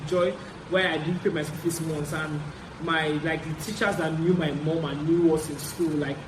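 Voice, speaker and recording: A man's voice: fairly high pitch, level tone, moderate pace. Young Nigerian man chatting in an echoey room. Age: young adult. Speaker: vlogger. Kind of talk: casual story-time chat. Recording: roomy echo, clear background noise.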